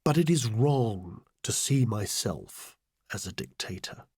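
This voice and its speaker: A sardonic, self-important scheming political adviser, swooping intonation. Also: male voice, on the low side, breathy edge, dry recording, clean studio sound, full character voice. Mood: coolly menacing. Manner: deadpan, deliberate, sardonic. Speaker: audiobook reader. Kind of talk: satirical first-person diary audiobook narration.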